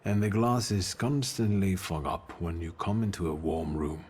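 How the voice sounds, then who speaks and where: Very deep-voiced, brooding thaumaturge man. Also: a little slow, a little mellow, breathy edge. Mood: somber, guarded. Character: brooding, reserved male thaumaturge in early 1900s Warsaw. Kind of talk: video game cutscene acting.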